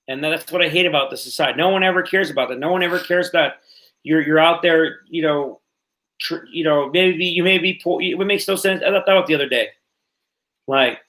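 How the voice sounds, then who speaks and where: Host, late 30s, male, bright voice, slightly high, loose rambling delivery with casual swearing. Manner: rambling, swears freely, repeats himself. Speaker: podcast host. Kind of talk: casual livestream podcast chat.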